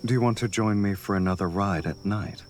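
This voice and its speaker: A man playing a suave, self-assured love interest in game dialogue, speaking in a measured, dryly teasing way. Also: lowish voice, slight background noise, lightly acted. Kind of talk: scripted dubbed game dialogue. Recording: music behind the voice.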